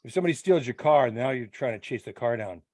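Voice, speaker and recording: A man's voice: mid-range voice, lively intonation, fast talker, darkish tone, dry recording. Male club member, dry close sound, casual and blunt, explains things plainly to the group. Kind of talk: casual chat.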